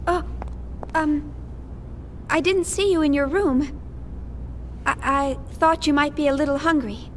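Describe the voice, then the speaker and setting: An actress voicing a kind, earnest young female researcher who speaks gently and a little hesitantly in a game cutscene. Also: high-pitched, measured pace, long pauses, slight background noise. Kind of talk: video game cutscene voice acting.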